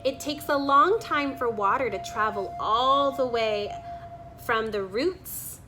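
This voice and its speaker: A woman's voice: a little high, over music. A woman reading a children's book aloud, stopping to add her own offhand remarks about the pictures. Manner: pauses to remark on the illustrations. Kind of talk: read-aloud with spontaneous comments on pictures.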